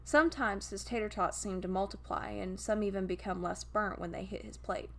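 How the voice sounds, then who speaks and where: A woman voicing a gentle, grieving male guardian angel who tells a story in a wistful, intimate way. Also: medium pitch, steady tone, a little fast, lightly acted. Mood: tender, wry.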